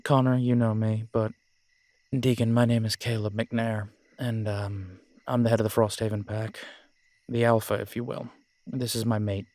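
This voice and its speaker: A man's voice: lowish voice, level tone, normal speed, darkish tone, dry recording, close to an everyday voice. A man playing a wry, easygoing werewolf pack leader in an audio roleplay drama, with a casual, teasing manner. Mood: relaxed.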